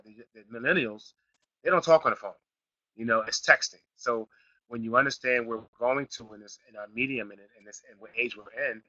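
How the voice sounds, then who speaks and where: Bright, raspy-sniffly man teaching live. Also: medium pitch, no room sound, highs cut off. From Philadelphia, Pennsylvania. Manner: repetitive, restarts phrases, builds to emphatic points. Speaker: online marketing class host. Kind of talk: live teleseminar class, casual coaching.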